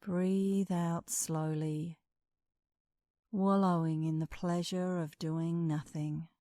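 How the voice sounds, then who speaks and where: Woman doing guided meditation, really slow n soothing, lots of pauses, slightly low voice. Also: no echo, studio quality. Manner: calm, soothing, measured delivery with long pauses. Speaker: meditation instructor and host.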